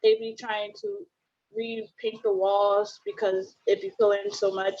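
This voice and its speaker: A woman's voice: mid-range voice, little pitch movement, measured pace, a little mellow. A young woman giving an informal testimonial as a student panelist on a video call. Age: young adult. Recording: muffled video call audio.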